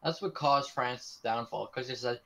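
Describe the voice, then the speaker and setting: A young man co-hosting a casual chat, eager and quick to argue and correct the others. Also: mid-range voice, vocal fry, over a noisy crowd. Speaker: co-host.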